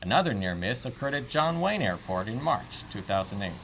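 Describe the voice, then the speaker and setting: A man's voice: lowish voice, sing-song, fairly quick, fairly bright tone, a little hiss or hum. A man narrating a news documentary from a script as the reporter.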